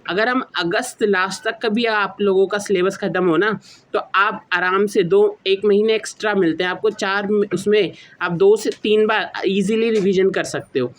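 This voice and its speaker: A man's voice: high voice, steady tone, moderate pace, fairly bright tone. A young man giving informal, explanatory advice to students, mixing in English words and explaining in a rambling, repetitive way. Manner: mixes English words into speech. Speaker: student YouTuber giving exam study advice.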